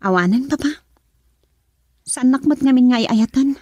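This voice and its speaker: A young Ilocano woman in a radio love drama, dutiful and wounded in love. Her voice sits high, with an Ilocano accent, recorded close and dry. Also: moderate pace, darkish tone, no room sound. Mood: sad, resigned.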